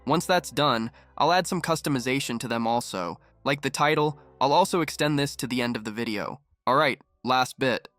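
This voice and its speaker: A man narrating a screen-recording tutorial, giving steady step-by-step instructions as the channel's host. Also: medium pitch, moderate pace, hardly any pauses.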